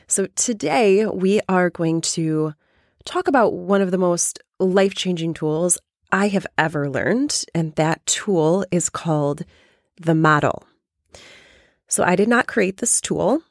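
A woman life coach hosting a podcast and explaining a method step by step, with a hoarse, raspy voice from a cold. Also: on the low side, slow, no room sound, studio quality. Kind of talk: casual coaching talk.

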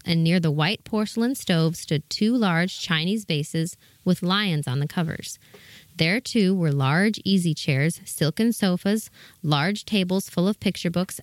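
A woman's voice: lowish voice, a little slow, no echo. A woman reading scripted storybook narration as the narrator of a fairy tale.

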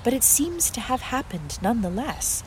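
Radio play: ancient oak newly human played by a woman, teasing, theatrical, highish animated voice, some background noise. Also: normal speed, rough recording. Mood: playful, pleased. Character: genderless ancient oak tree turned human, playful, curious.

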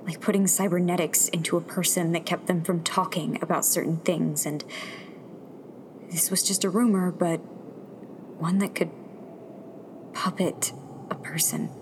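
A woman playing a thoughtful, self-doubting young rebel in a sci-fi radio drama, with a soft-spoken, hesitant manner. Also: lowish voice, steady tone, unhurried, long pauses, noisy background, lightly acted. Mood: uneasy, explaining.

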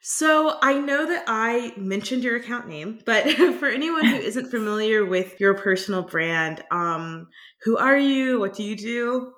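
A woman's voice: a little high, expressive, measured pace, a bit bright. A woman hosting an interview podcast, upbeat and chatty, often restarting her sentences. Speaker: podcast host. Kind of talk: casual interview chat.

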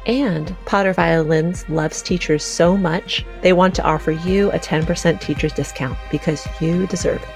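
A woman co-hosting a podcast, reading sponsor ads in an even, scripted way. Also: fairly low pitch, normal speed, hardly any pauses, soft background music. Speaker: podcast co-host.

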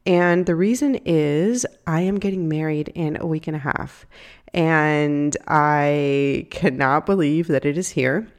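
Low-voiced congested woman podcast host. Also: slow. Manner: chatty, candid, with fillers and laughs. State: congested from a cold. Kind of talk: solo podcast talk. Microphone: home podcast microphone.

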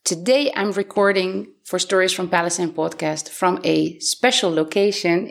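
A woman from the Netherlands hosting a podcast, speaking in a conversational presenting style. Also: lowish voice. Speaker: podcast host.

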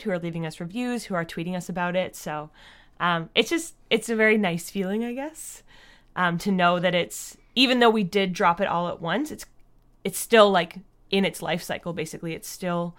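A woman talking casually as an interview guest, explaining things reflectively and often dropping in "like" as she goes. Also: on the low side. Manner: reflective, explanatory, frequent "like" as a verbal habit. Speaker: interview guest, audio drama writer.

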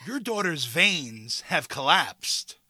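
An actor playing an uneasy, clinical doctor in an audio drama, with a measured manner. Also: male voice, on the high side, sing-song, pushed and bright, a little hiss or hum, exaggerated voice.

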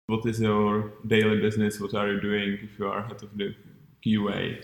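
A man hosting a casual podcast interview, haltingly searching for his words as he asks questions. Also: on the low side, monotone, vocal fry. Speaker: podcast host. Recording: roomy, echoey sound.

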